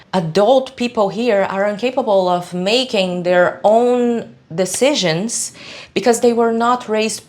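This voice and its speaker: A woman's voice: mid-range voice, darkish tone. A woman from Brazil with a Brazilian accent speaking English as a second language, giving blunt, emphatic opinions to the camera with frequent hesitations. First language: Portuguese. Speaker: video channel host.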